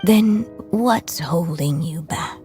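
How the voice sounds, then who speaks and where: A woman playing the gentle, fading magical echo of a dead storyteller mother in a video game, speaking tenderly and solemnly. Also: lowish voice, slow pace. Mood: gentle, resigned. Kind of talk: dramatic video game voice acting. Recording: music behind the voice.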